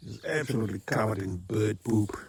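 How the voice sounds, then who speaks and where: South African man, dark husky voice. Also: fairly low pitch, fairly quick. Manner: rambling, self-correcting, frequent ums. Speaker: vlogger. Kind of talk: casual vlog narration. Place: rural homestead.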